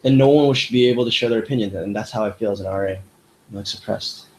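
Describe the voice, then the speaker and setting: Animated young man with low voice. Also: quick pace, slight background noise, low-quality recording. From United States. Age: young adult. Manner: animated, rambling, many fillers. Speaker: podcast host. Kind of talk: casual chat.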